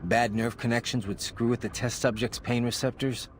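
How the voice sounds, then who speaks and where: An actor playing a brooding, cynical cyborg mercenary in a video game, gruff and terse. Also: male voice, medium pitch, fairly even intonation, moderate pace, close to an everyday voice. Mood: wry, reflective. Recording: music behind.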